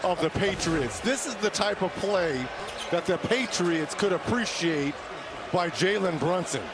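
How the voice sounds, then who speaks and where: Male basketball color commentator, slightly high pitch, analytical live remarks. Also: lively intonation, measured pace, heavy background noise. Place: courtside at an arena.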